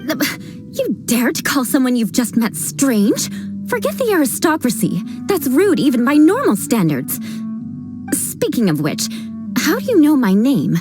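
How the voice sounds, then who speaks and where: A woman's voice: high voice, wildly swooping pitch, a little slow, exaggerated voice. An actress playing a proud young noblewoman knight captain who talks with dry haughtiness and vows vengeance over every little slight. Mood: affronted. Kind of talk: video game voice acting. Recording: game dialogue with background music.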